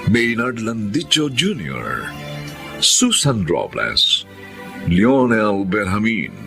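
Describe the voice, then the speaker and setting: A man's voice: sits low, gravelly, sharp tone. A man announcing a radio drama in a solemn, theatrical scripted style, reading closing lines and credits.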